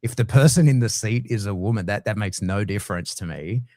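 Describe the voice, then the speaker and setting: A middle-aged Australian man talking casually as a guest, blunt and swearing freely, with lively swings in his voice. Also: lowish voice, a little fast, dry recording, studio quality. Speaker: guest dog trainer. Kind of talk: casual conversation.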